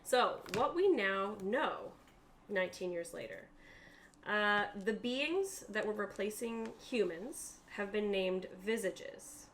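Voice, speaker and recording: A woman's voice: mid-range voice, expressive, slow pace, slight background noise. A woman narrating a tabletop roleplaying game as game master, explaining things in a conversational way.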